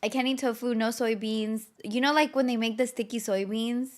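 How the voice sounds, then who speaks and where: A young woman co-hosting a casual podcast, telling stories in an animated way with swearing and laughter. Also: fairly high pitch, normal speed. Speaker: podcast co-host. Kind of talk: casual chat.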